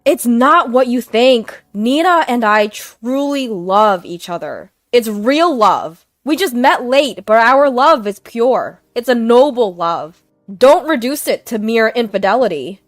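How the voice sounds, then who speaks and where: A woman voicing a cheating young husband who pleads loudly and insists he is in love. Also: fairly high pitch, expressive, slow pace, hardly any pauses, no room sound, clean studio sound, soft background music, voice pushed up. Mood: insistent. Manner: loud, insistent.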